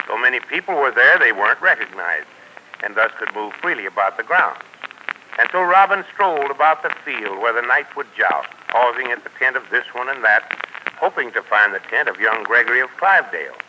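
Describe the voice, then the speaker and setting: A man narrating an old radio adventure in a measured storytelling way. His voice sits at a plain middle pitch. It comes through a noisy 1940s broadcast recording. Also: expressive. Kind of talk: scripted narration.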